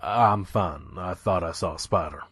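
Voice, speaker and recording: A man playing a weary, guarded pony trader in an audio drama, with a gruff, dry, terse way of talking. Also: sits low, moderate pace, fairly bright tone, dry recording, full character voice. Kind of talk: audio drama narration and dialogue.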